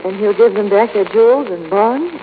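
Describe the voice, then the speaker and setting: An actress playing an anxious, loyal sleuth's companion in 1940s radio mystery style, with a worried, questioning manner. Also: female voice, mid-range voice, expressive, normal speed, natural voice. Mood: worried, curious. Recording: tinny, very noisy 1940s radio recording.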